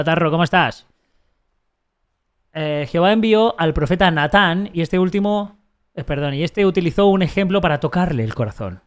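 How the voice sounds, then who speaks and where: A man with a Spanish accent from Spain reading a text aloud on a live stream, breaking off for sarcastic, mocking asides and restarting his sentences often. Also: on the high side. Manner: halting, frequent restarts while reading.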